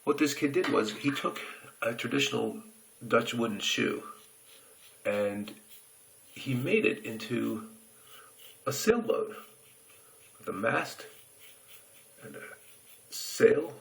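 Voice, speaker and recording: An American man telling a childhood story in a relaxed, reflective way while shaving, recorded on a phone camera in a bathroom. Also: medium pitch, vocal fry, low-quality recording. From United States. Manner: reflective, unhurried anecdotes with pauses. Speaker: shaving reviewer.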